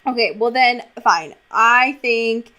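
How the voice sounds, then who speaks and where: A woman co-hosting a podcast in casual banter, playful, slangy and quick to swear. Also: fairly high pitch, sharp tone. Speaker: podcast co-host.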